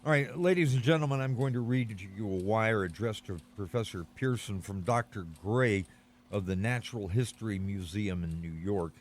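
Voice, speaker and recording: An actor playing an eager, courteous radio commentator in a 1930s style radio drama, speaking in a formal announcer's manner. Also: male voice, on the low side, normal speed, a little hiss or hum, close to an everyday voice. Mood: urgent, formal. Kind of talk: 1930s radio drama, live news interview.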